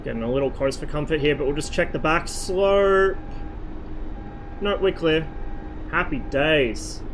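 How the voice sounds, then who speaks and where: A young man giving relaxed running commentary while streaming a flight simulator, chatting with viewers and swearing when under pressure. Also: a little high, measured pace, background noise. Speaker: streamer playing a flight sim. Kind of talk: casual gameplay commentary.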